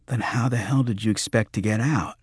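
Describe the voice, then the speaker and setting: A man's voice: fairly low pitch, a little fast, husky, no echo, clean studio sound, pitched above the performer's own voice. A man voicing a brash, impatient young man in an audiobook thriller, slangy and argumentative.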